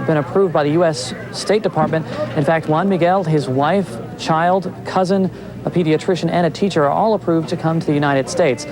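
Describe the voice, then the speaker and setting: A man working as a television field reporter, delivering a live news report from outdoors. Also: on the high side. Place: outdoors on a city street. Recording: outdoor live shot with crowd noise. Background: crowd and street noise.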